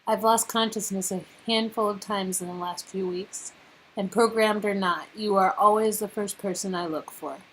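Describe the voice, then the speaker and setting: A woman's voice: medium pitch, steady tone, moderate pace, fairly bright tone, rough recording. A woman acting out a wistful, affectionate young woman in a romantic game story, hesitant and tender. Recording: clear background noise.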